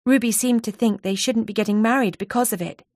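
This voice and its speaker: A woman's voice: fairly high pitch, a little fast, darkish tone, dry recording, clean studio sound, pitched above the performer's own voice, lightly acted. A woman voicing a nervous, chatty female office worker who confides eagerly and a little breathlessly. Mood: confiding.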